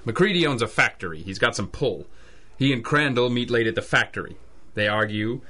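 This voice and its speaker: An actor playing a tough, wry private detective, dry and world-weary in old-time radio drama style. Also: male voice, medium pitch, lively intonation, moderate pace, bright, forceful tone. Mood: dry, argumentative. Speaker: detective and narrator.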